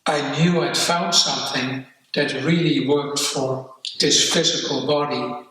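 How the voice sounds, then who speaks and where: Older dutch guy, slow bright voice, far off in an echoey room. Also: a little high, fairly even intonation. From Netherlands. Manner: reflective, halting, with restarts. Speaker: guest. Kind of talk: personal storytelling interview.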